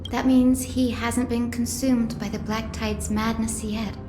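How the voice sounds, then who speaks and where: A woman's voice: on the high side, level tone, a little slow, natural voice. A woman playing a kind, optimistic young priestess in a video game, speaking earnestly. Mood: grave, reflective. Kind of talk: scripted video game voice acting. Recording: echoey game audio with music behind.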